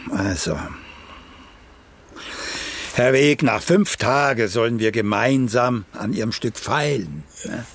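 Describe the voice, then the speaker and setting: An actor in a German radio play voicing a vain, needling elderly playwright who speaks in a pompous, deliberate way. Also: male voice, mid-range voice, animated. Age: older. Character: vain, cantankerous elderly once-celebrated playwright.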